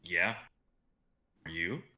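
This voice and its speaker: A man's voice: deep voice, animated, very slow, sharp tone, heavily acted. A young man playing a casual, loyal and supportive adventurer in a dramatic reading. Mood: warm, sincere. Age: young adult. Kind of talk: online dramatic script reading.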